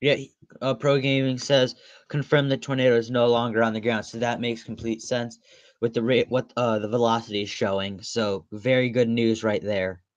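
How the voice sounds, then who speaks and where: A man hosting a storm-chasing livestream, commenting on radar in a halting way with frequent restarts. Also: mid-range voice, level tone, no room sound. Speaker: storm chaser livestream host. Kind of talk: live weather radar commentary. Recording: dull lo-fi dry audio.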